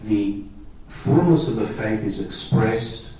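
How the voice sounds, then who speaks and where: A man's voice: on the low side, lively intonation, slow, dark tone, far from the mic. Greek-born man talking in an echoey hall. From Australia. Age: 50s. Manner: conversational, checks in with listeners. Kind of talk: public talk to a lay audience.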